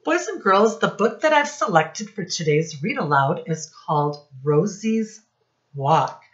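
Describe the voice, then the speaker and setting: A middle-aged woman giving a read-aloud lesson to young children, speaking deliberately and instructively as their teacher. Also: on the low side, very animated, muffled recording. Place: small indoor room with posters.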